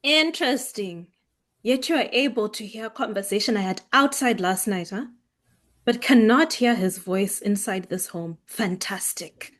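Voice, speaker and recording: A woman's voice: mid-range voice, a little slow, a bit bright, lightly acted. An actress playing an anxious, stern mother from a Kenyan village in a staged drama reading, asking pointed questions. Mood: sardonic, suspicious. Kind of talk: staged script read of a drama.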